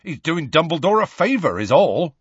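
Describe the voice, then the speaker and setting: A man voicing a big, gruff, stubborn gamekeeper from a storybook, blunt and colloquial in his talk. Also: mid-range voice, sing-song, brisk, fairly bright tone, no room sound, lo-fi sound, voice pushed up, heavily acted. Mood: testy.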